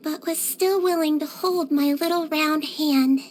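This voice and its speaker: A woman voicing a small, cute non-human girl nurse from a video game, speaking in a sweet, gentle, childlike and earnest way. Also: high-pitched, steady tone, unhurried, a little hiss or hum. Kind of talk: scripted video game character voice lines.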